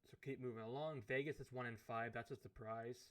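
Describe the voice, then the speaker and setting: A man with a hoarse, fading voice, thinking aloud as he commentates a hockey management game. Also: mid-range voice, fairly even intonation, fairly bright tone. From Canada. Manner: musing aloud, unhurried, thinking through choices. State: hoarse, losing his voice. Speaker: gaming commentator. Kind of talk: let's play commentary of a management sim.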